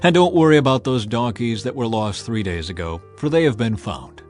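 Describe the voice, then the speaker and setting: A man's voice: mid-range voice, expressive, a little fast, soft background music, natural voice. A man playing a commanding old prophet and seer in a dramatized scripture reading. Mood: assured.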